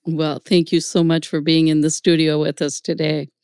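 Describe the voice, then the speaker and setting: A woman's voice: sits low, level tone, moderate pace, a little mellow, clean tone, no echo, studio quality. A woman hosting an interview podcast, speaking in a prepared, presenterly way. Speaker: podcast host. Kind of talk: hosted interview, partly reading prepared text. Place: studio.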